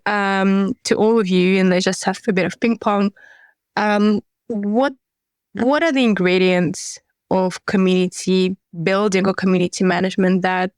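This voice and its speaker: Female podcast host, eager and complimentary, mid pitch, dry remote recording. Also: dry recording. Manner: enthusiastic, full of praise, laughs easily. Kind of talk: hosting a podcast interview.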